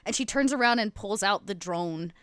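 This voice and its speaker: A woman's voice: mid-range voice, lively intonation, normal speed, fairly bright tone, clear, resonant tone, dry recording, studio quality. A woman playing a tabletop roleplaying game, making up a description of her character as she talks.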